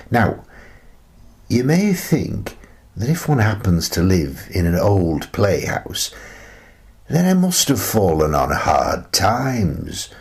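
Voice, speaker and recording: Man playing a low, slow, wry older recluse. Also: vocal fry, heavily acted. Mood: musing. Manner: musing, chatty, gently amused. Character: wry, contented older recluse living in a playhouse. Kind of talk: radio play monologue.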